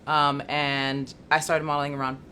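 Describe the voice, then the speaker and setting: A woman's voice: low-pitched, moderate pace, a little hiss or hum. A young woman, a model and musician, chatting as a guest in a casual interview and laughing easily. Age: young adult. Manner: relaxed, laughs easily, animated storytelling.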